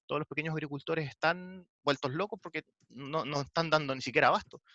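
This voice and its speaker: A Chilean man with a high, bright, forceful voice speaks on a video call, restarting phrases and hunting for words. The audio is dull and lo-fi, and the sound is dry, with no room around it. He is taking part in a panel discussion. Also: dry recording. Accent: Chilean Spanish. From southern Chile. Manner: halting, with many restarts and filler sounds. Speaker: discussion participant.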